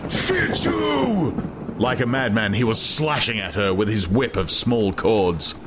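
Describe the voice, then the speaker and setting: Low-voiced man narrating a dramatic audiobook. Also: normal speed, fairly bright tone, slight background noise. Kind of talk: dramatic scripted literary narration.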